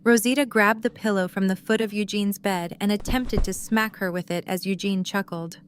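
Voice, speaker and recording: A woman's voice: mid-range voice, fairly even intonation, moderate pace, a little hiss or hum. A woman reading scripted story narration as the narrator of an audio drama.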